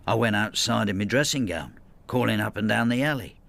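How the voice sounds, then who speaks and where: An actor playing a vengeful, ageing husband in a radio drama, brooding and spiteful, with a gravelly voice. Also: male voice, on the low side, a little fast, a bit bright. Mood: anxious, grieving. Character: bitter, vengeful ageing husband devoted to his cat. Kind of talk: first-person radio drama narration and dialogue.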